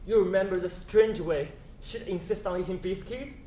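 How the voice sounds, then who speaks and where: A man's voice: high voice, moderate pace, warm tone, natural voice. A man acting an earnest, emotional husband pleading for answers in an afterlife stage play. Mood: wistful. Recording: echoey stage, faint background noise.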